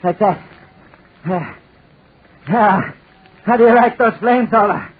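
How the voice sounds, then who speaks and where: A man's voice: high voice, slow, husky, full character voice. An actor playing a deranged, desperate man in 1940s radio style, repeating his phrases feverishly and obsessively. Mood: frantic. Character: deranged desperate man set on burning his tormentor to death. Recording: scratchy, tinny 1940s radio recording.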